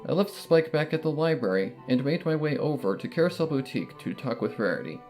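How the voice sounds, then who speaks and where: A man narrating a fan fiction story in the first person, in a measured, earnest storytelling manner. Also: a little high, very animated, loud music behind the voice.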